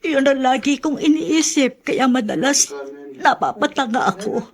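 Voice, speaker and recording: Radio drama grandmother, played by a woman: Filipino, poor and devoted, high voice, anxious and flustered. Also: close to an everyday voice. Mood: flustered, apologetic. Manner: flustered, halting, pleading.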